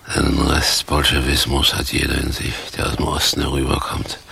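Deep, forceful, bitter vagrant tragedian. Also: male voice, monotone, normal speed, gravelly, a little hiss or hum, exaggerated voice. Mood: bitter, declamatory. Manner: declamatory, sardonic. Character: grandiose, bitter male vagrant, washed-up former stage hero. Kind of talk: 1980s radio play acting.

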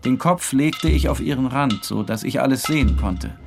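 A man's voice: medium pitch, moderate pace, creaky. A man narrating a literary story in a dramatic scripted style. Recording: music behind the voice.

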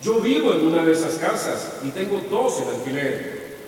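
A man's voice: fairly high pitch, sing-song, moderate pace, a little mellow. An actor playing an indignant male homeowner with a Costa Rican accent, accusing the officials in a radio drama. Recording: echoey hall, voice from far off.

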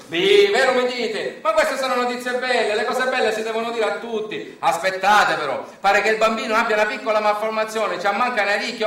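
A man's voice: sits high, bright, forceful tone, pitched above the performer's own voice. A man playing gossiping village neighbours in a theatrical monologue, with a Sicilian accent and an exclamatory, singsong delivery. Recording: roomy echo, distant microphone.

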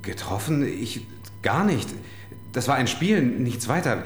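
A man's voice: medium pitch, very animated, measured pace, reverberant, faint music behind. An actor playing a nervous, evasive married man in a radio crime drama, stammering under questioning.

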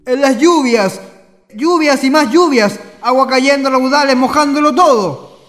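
Brooding young chilean traveller, radio play, really high voice, big reverb. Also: male voice, a little slow, a bit bright. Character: brooding, scornful young male intellectual traveller. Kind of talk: radio drama acting. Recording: noisy, long reverberant tail.

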